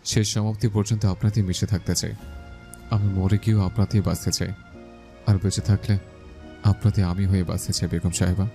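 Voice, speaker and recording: A man playing a brooding, devoted young husband in an audio love story, with a gravelly voice and romantic declarations. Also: level tone, warm tone, faint music behind. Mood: intense devotion. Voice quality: gravelly, low voice. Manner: slow romantic declarations to his beloved.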